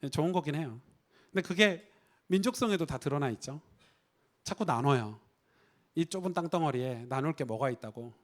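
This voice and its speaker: Conversational Korean pastor preaching. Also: male voice, a little high, animated, moderate pace, pauses often. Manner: conversational, rhetorical questions, acts out quoted voices. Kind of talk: sermon. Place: church.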